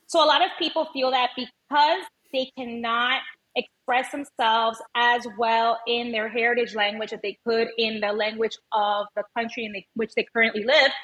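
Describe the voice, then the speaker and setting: A woman with a bright, forceful voice pitched a little high. She is a native Spanish speaker raised in the United States, speaking as an interview guest. She explains through personal stories and voices short exchanges as she goes. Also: fairly even intonation, normal speed, lo-fi sound. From born in Venezuela, raised in the United States. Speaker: podcast guest, language educator and advocate. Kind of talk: conversational interview storytelling.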